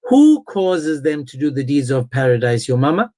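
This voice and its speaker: A man's voice: mid-range voice, big pitch swings, normal speed, mellow. A man hosting a live online religious debate, speaking in an insistent, confrontational and emphatic way. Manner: insistent, confrontational, repeats pointed questions. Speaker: debate host.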